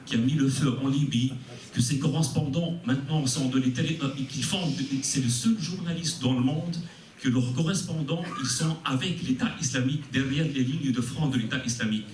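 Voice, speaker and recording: A man with a mid-range voice poses lengthy, courteous questions, pausing often on hesitant fillers. The room is echoey and he sounds far from the mic, with faint noise underneath. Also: medium pitch, a little mellow. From Tunisia. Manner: formal, deferential, long-winded, hesitant fillers. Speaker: audience member asking questions. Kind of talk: formal question from the floor. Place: meeting room with audience.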